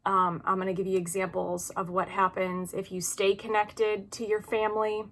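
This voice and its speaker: A woman's voice: medium pitch, level tone, normal speed, a bit bright. A woman in her early forties hosting an informal advice video, talking earnestly and with emphasis from personal experience. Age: early 40s. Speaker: video channel host.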